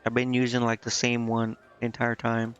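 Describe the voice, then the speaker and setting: A man's voice: medium pitch, monotone, moderate pace, fairly bright tone, clean tone. A man casually commenting on a video game he is streaming, thinking aloud and reading bits of on-screen text. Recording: slightly dull lo-fi mic, game music behind.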